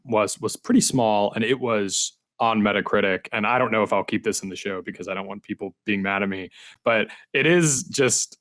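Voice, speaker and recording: A man's voice: fairly low pitch, fairly bright tone. A man hosting a podcast, interviewing a guest in a casual, conversational way.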